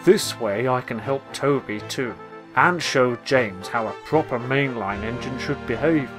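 A man voicing a big, gentle, sensible male engine who speaks in a calm, mild way. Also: medium pitch, measured pace, over music, natural voice.

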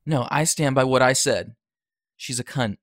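A man's voice: mid-range voice, normal speed, fairly bright tone, dry recording, clean studio sound, natural voice. Man acting a defiant, sneering young son. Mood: sneering, bitter. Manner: sneering, sarcastic. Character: defiant, sarcastic, foul-mouthed son. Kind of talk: audio drama acting.

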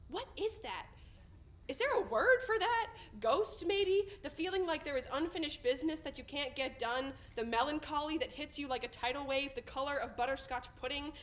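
High female voice, young adult, slam poetry, emphatic rhythmic phrasing, indoor stage performance. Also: a bit bright. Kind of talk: spoken-word poetry recital. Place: indoor stage in front of a curtain.

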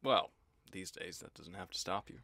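A man's voice: deep voice, moderate pace, vocal fry, sharp tone, no room sound, exaggerated voice. An actor playing a guarded, reluctant man, curt and evasive, in a naturalistic audio drama. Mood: dismissive.

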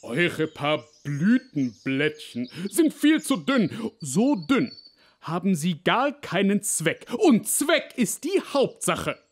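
A man playing a bossy, pompous, self-important cabbage in a children's animated fable, declaiming like a speechmaker. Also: high voice, takes it slowly, exaggerated voice. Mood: pompous, lecturing.

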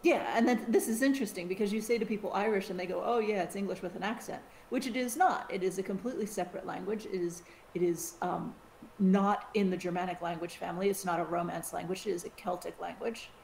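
A middle-aged woman from the United States, co-hosting a podcast in a casual, animated chat with frequent restarts and side remarks. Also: medium pitch, fast, creaky. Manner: chatty, animated, with many restarts. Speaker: podcast co-host. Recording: roomy sound with faint hiss.

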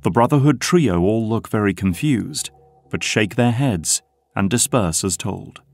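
A male narrator reads scripted stage action for an audio drama. His voice is fairly low, with faint music underneath. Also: expressive, measured pace, vocal fry.

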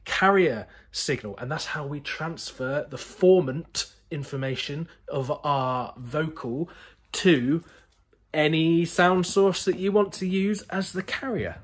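A man's voice: a little high, husky. A British man in his thirties presenting an explainer to camera in a conversational, enthusiastic way. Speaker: museum video host. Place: indoor museum room full of synthesizers.

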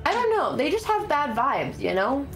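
High, lively streamer woman over game music. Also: normal speed. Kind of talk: in-character roleplay chat while playing.

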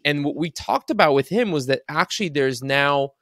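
A young man from Bulgaria, a native Bulgarian speaker, talking casually and quickly as a podcast guest over a video call from home, using lots of filler words. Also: on the high side, expressive, fairly bright tone, dry recording, clean studio sound. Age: young adult. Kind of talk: casual interview talk. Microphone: studio mic on desk.

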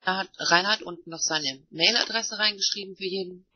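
A man moderating an online voice chat, reading participants' messages aloud in a plain, unhurried way. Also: sits high, slightly rough. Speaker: meeting moderator. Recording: dull, muffled online voice chat.

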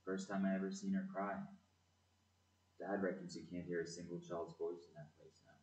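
A man's voice: sits low, little pitch movement, normal speed, a little mellow, lightly acted. A man playing a cheeky, teasing fourteen-year-old boy in a community audio play. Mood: sombre. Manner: playful, teasing. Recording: echoey, muffled room recording.

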